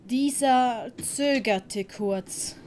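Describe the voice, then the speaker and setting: A woman's voice: fairly high pitch, very slow, darkish tone, slight background noise. A woman narrating an audio drama in scripted storytelling style.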